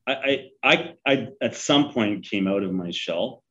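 A man in his forties speaks in a halting, easygoing way, full of fillers and restarts. His voice sits slightly low. The audio sounds dull and lo-fi. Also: expressive, fairly bright tone. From Sri Lanka. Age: 40s. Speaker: guest. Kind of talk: casual interview conversation.

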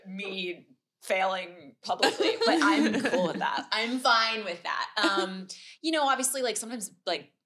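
A woman's voice: mid-range voice, expressive, unhurried, crowd noise. A woman who does impressions for comedy, chatting casually as a podcast guest in a rambling, self-deprecating way.

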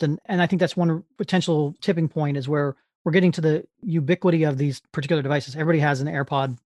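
Fast-talking interview host. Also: medium pitch, a little mellow, dry recording. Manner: rambling, searching questions with restarts. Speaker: podcast host. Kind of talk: conversational interview questions.

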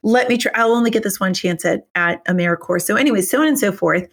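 A woman's voice: medium pitch, quick pace. A woman in her forties from New Hampshire, chatting as a podcast guest and telling her career story in a chatty, self-deprecating way. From New Hampshire, USA. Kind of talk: casual interview storytelling.

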